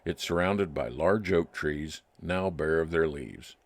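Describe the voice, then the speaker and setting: A man playing a wry, nostalgic private detective, narrating his case deliberately in old time radio style. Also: rumbling low, dry recording, heavily acted. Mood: reflective. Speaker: narrator and lead actor.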